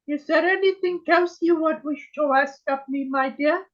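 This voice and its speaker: High soft spirit sister talking real slow and solemn, as a medium channels her, muffled video call. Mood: solemn, tender. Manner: slow, solemn, archaic phrasing, keeps saying my dear. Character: gentle female spirit sister speaking through a medium. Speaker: trance medium.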